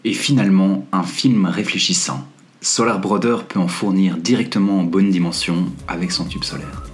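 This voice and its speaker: A man narrating a do-it-yourself building tutorial in a relaxed, friendly voice-over, slipping slang into his step-by-step instructions. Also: deep voice, normal speed, husky. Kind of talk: casual DIY tutorial voice-over. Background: faint music.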